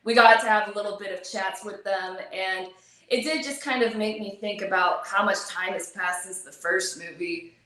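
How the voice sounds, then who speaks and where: A talkative woman guest chatting in a very echoey room. She fills her speech with ums and likes, laughs easily, and her voice is wearing thin and hoarse. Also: mid-range voice, normal speed, bright, forceful tone. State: losing her voice. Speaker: actress guest. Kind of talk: casual interview chat.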